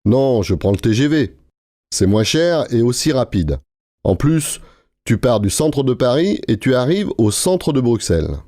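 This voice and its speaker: A man acting out scripted dialogues for language learners, explaining things in a clear, steady way. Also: mid-range voice, unhurried, no echo.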